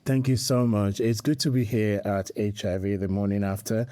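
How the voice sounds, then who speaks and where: A man's voice: on the low side, little pitch movement, normal speed, warm tone, no room sound. A middle-aged man from Nigeria, a priest answering interview questions earnestly and quoting scripture. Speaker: priest and guest.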